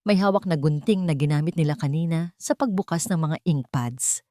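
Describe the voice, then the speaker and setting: A woman's voice: low-pitched, moderate pace, dark tone, no room sound, clean studio sound. A woman narrating a scripted audio drama as its storyteller.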